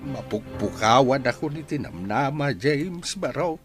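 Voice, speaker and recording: A middle-aged man with an Ilocano accent playing a resentful, grieving father in a radio drama, his voice husky. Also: medium pitch, animated, a little slow, over loud music, close to an everyday voice. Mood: anguished.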